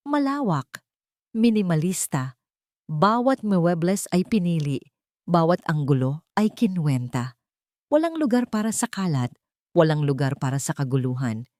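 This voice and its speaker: A woman's voice: lowish voice, sing-song, normal speed, long pauses, a little mellow, no room sound, studio quality. A woman narrator reading a scripted romance story in a dramatic storytelling style.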